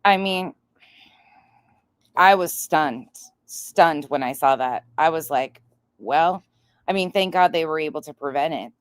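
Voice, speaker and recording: Low-voiced, blunt woman reacting on livestream. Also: no echo. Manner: blunt, sweary, incredulous. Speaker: livestream host. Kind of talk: casual livestream reaction commentary.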